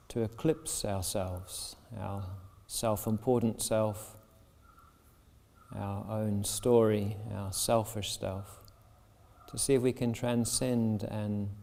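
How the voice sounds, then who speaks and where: A man leading a guided meditation as a yoga teacher, speaking soothingly and deliberately in short phrases with pauses. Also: on the low side, creaky, warm tone. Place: yoga shala with a seated group. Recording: long reverb of a large hall.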